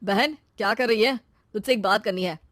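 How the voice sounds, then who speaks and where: A woman's voice: mid-range voice, moderate pace, a bit bright, no echo, clean studio sound, lightly acted. A woman voicing an earnest, trusting young adult brother in a moral story, in a casual, sincere way. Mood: hesitant. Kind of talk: acted moral-story dialogue.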